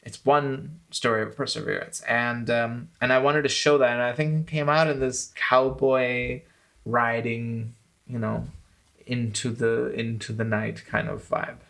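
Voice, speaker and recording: A man's voice: medium pitch, fairly even intonation, a little slow, creaky, a bit bright. A man who hosts a channel, a filmmaker talking thoughtfully about how he made one of his documentaries. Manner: thoughtful, self-critical, grows enthusiastic. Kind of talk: reflective commentary on his own film.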